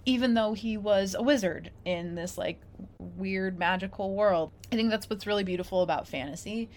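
A woman in casual, reflective conversation, telling stories in an animated way and acting out imagined quotes. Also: mid-range voice, normal speed, a little hiss or hum.

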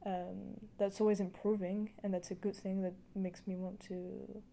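Flat, mellow-voiced woman interviewer. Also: medium pitch, gravelly, a little hiss or hum. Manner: measured, searching for words. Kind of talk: casual conversation.

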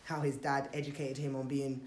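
A woman's voice: extremely low pitch, level tone, a little fast, a little mellow, slight background noise. A young adult woman in a casual group chat, thinking aloud about family and heritage, with plenty of fillers and restarts. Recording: roomy echo.

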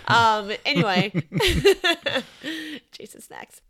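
A woman from Chile co-hosting a podcast in casual chat, teasing and quick with banter. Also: sits high, big pitch swings, crowd noise. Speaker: podcast co-host.

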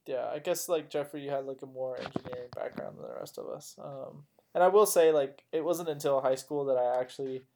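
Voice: medium pitch, brisk, hardly any pauses, darkish tone, a little hiss or hum. A nineteen-year-old talks candidly about growing up and laughs easily. The voice sits in a middle range. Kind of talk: casual group chat.

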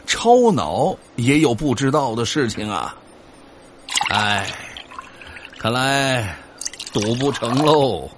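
An actor playing a half-drunk, skeptical male engineer in a sci-fi audio drama, scoffing with drawn-out exclamations. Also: medium pitch, big pitch swings, noisy background. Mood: tipsy amazement.

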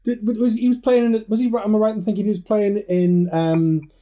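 Male interviewer from Wales, mellow tone, a bit high, hesitant and chatty. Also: level tone, brisk. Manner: hesitant, friendly, stacks fillers. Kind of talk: casual interview chat.

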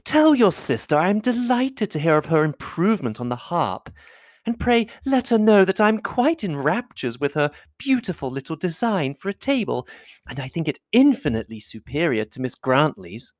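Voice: mid-range voice, sing-song, normal speed, no room sound, clean studio sound, pitched above the performer's own voice, lightly acted. A reader voicing a fawning young society lady in a classic novel audiobook, effusive and coquettish.

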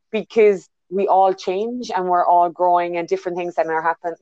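A woman with an Irish accent, speaking low and reflectively, pausing with ums and restarting her sentences as she thinks aloud. Her voice comes through a dry, close call line that sounds a little dull and muffled. She sounds like a guest on a video call from a quiet bedroom. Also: dry recording. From Ireland. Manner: thoughtful, many fillers and restarts. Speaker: guest, business consultant. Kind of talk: casual interview over a call. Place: bedroom at home.

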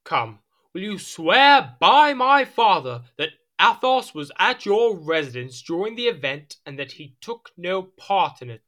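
Period audio drama: petulant male monarch. Higher register, very animated, haughty and quick to exasperation. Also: full character voice. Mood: placating. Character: petulant, imperious, easily irritated king.

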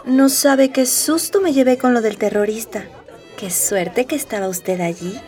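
A coy, deferential young woman from a radio drama, voiced by an actress. Her highish voice is playful and sweetly polite, set slightly back from the mic with soft music and party chatter behind. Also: unhurried, far from the mic, natural voice. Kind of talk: scripted radio drama acting.

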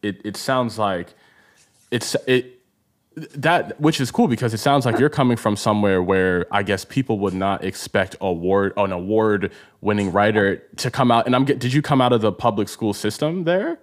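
A man with a somewhat low voice hosts a casual interview. He speaks haltingly and conversationally, circling around his point and often affirming the other speaker. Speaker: podcast host, interviewer.

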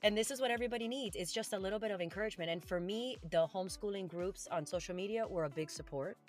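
A woman's voice: medium pitch, fast talker. A woman from Colombia whose first language is Spanish, speaking earnestly and encouragingly as a podcast interview guest.

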